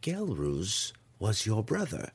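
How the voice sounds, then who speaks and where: A man voicing a menacing, imperious female dark elf priestess who speaks slowly and commands. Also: on the low side, wildly swooping pitch, slightly rough, pitched above the performer's own voice, full character voice. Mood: slow, appraising. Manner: slow, deliberate, commanding.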